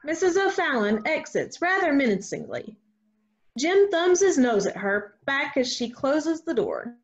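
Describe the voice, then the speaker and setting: A woman's voice: high voice, sing-song, measured pace. A woman reading stage directions as scripted narration for a play. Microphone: video call. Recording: dull video-call audio.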